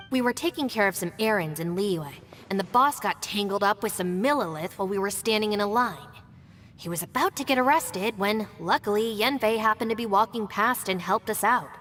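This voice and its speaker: A woman playing a composed, shrewd young female deputy in a video game, speaking in a measured, polite, matter-of-fact way. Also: mid-range voice, fairly bright tone, long reverb tail, slight background noise, natural voice. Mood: explaining.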